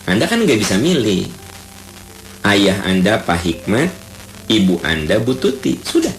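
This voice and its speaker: A man from Bandung with a Sundanese accent, preaching a lively radio sermon that repeats key terms and recites Arabic verses. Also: mid-range voice. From Bandung, Indonesia. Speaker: Islamic preacher. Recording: roomy radio broadcast with faint ambience.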